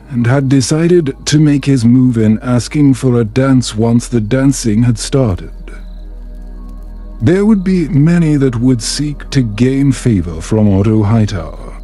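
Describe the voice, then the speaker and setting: A man reads a bedtime tale at an unhurried pace, his tone soft-edged and mellow. The delivery is calm, soothing and measured, like scripted storytelling meant for sleep, with music playing underneath. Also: medium pitch. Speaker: storyteller narrator.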